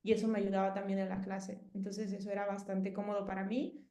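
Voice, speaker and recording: A woman's voice: medium pitch, completely flat delivery, fast, warm tone, roomy sound. A Mexican woman in her thirties with a Mexican Spanish accent, chatting casually as an interviewee and rambling with many fillers and restarts. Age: 30s.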